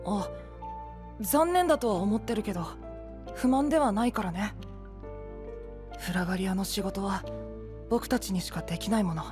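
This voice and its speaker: A man's voice: high-pitched, moderate pace, long pauses, over music, lightly acted. A man playing a sweet, slightly pouty young boy knight in an anime-style voice drama, with childlike, sulky-sweet speech. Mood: wistful.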